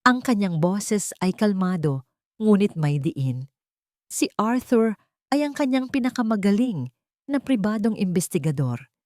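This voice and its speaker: A woman's voice: mid-range voice, big pitch swings, unhurried, a little mellow, no room sound, clean studio sound. A woman reading a dramatic scripted story as its narrator.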